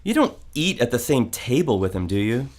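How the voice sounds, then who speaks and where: Actor as a breezy, lively-voiced male roommate. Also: mid-range voice, moderate pace. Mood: teasing. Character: breezy, teasing male roommate, unfazed by ghosts. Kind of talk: audio drama dialogue.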